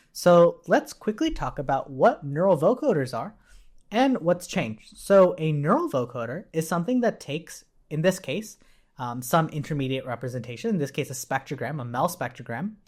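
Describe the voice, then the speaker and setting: A man giving an informal technical tutorial in a conversational way, often tagging statements with a questioning check. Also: a little high. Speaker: instructor.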